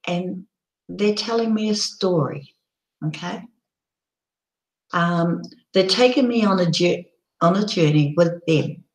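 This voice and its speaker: A woman hosting a casual live broadcast, chatting loosely with many ums and restarts, her voice croaky and hoarse. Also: lowish voice, echoey room, poor audio. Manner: rambling, lots of ums and restarts. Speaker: live-stream host. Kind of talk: casual live-stream chat.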